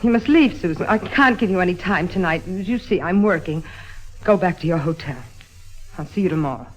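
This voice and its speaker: An actress playing a proud, possessive cabaret singer in an old-time radio drama, haughty and theatrical. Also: female voice, fairly low pitch, animated, a little fast, lightly acted, poor audio. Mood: stern.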